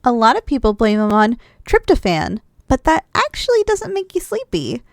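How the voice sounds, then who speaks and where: Drowsy, affectionate wife with a lilting high voice, acted by a woman. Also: huge pitch swings, normal speed, vocal fry, dry recording, studio quality, heavily acted. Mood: teasing, fond. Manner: soft, affectionate, teasing, with sighs and giggles. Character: affectionate, drowsy, playful wife cuddling after a big dinner. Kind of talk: ASMR roleplay, intimate one-sided dialogue.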